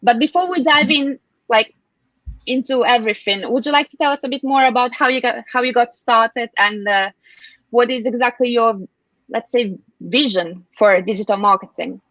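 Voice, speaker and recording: A woman hosts a live online interview in a fairly high voice. She is effusive, with somewhat hesitant phrasing. The sound is dry and a bit dull, as on a lo-fi connection. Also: normal speed, fairly bright tone, no echo. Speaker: host, interviewer. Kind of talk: live online interview, scripted introduction and questions.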